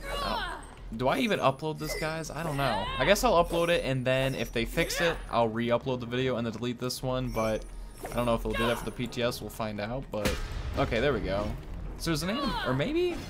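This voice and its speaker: Young male gaming host, medium pitch, casual commentary, close mic with game sounds clearly behind. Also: very animated, brisk, noisy background. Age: young adult. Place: indoor room, at a desk. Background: video game ambience.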